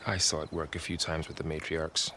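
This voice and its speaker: Very deep-voiced, easygoing young warrior. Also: male voice, flat delivery, a little fast, background noise. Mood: reflective. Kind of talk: naturalistic video game cutscene acting. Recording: loud music behind the voice. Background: music.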